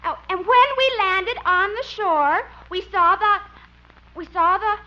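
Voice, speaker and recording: An actress playing a giggly, timid, dim young amateur performer in an old radio comedy, reciting haltingly. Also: female voice, extremely high pitch, over a noisy crowd. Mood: halting, stage-frightened. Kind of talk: 1940s-50s radio comedy acting. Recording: dull, noisy old radio recording.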